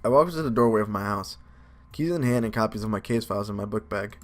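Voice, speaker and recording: A man's voice: lowish voice, fast. A man doing a casual let's play of a game, moving between commentary and reading aloud. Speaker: game video creator. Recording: music behind the voice. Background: game music.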